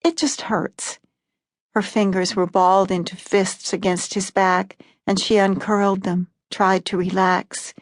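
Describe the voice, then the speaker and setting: Calm woman narrating literary fiction. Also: medium pitch, a little slow, hardly any pauses, dry recording. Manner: calm, even storytelling. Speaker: audiobook narrator.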